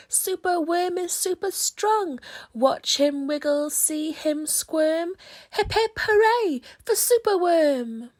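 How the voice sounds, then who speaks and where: A woman reading a rhyming children's storybook aloud in an expressive, theatrical way with strong emphasis. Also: sits high. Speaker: storybook reader.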